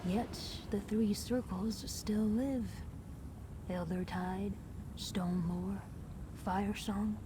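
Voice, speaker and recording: Slow, solemn female nature spirit. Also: medium pitch, fairly even intonation. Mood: thoughtful, reverent. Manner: measured, formal, reverent. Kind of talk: fantasy video game dialogue. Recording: game ambience noise behind voice.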